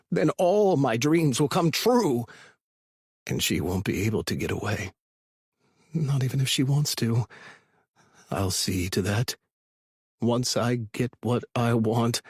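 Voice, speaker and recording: A man's voice: mid-range voice, very animated, unhurried, a little mellow, husky, dry recording, clean studio sound, exaggerated voice. A man playing an obsessive, possessive stalker in a thriller audiobook, narrating in the first person with brooding, self-absorbed intensity. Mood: determined, possessive. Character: obsessive, possessive male stalker, frustrated and scheming.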